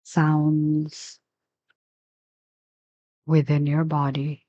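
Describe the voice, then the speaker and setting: A woman leading a guided meditation as a teacher, giving gentle, unhurried instructions with long pauses. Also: deep voice, monotone, muffled recording.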